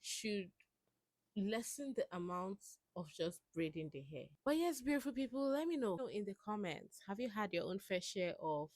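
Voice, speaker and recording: A woman's voice: mid-range voice, expressive, normal speed, a little mellow. A woman presenting an opinion video, putting questions directly to her viewers.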